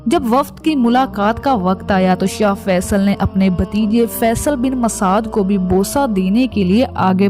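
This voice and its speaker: A woman narrates in a scripted documentary style. Her voice sits at an average pitch for a woman. Music plays clearly behind her narration. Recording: background music under voice.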